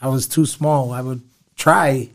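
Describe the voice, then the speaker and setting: A boxer as a guest on a casual interview, telling childhood stories in a laid-back way with short replies and chuckles. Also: male voice, mid-range voice, fairly even intonation, a little slow.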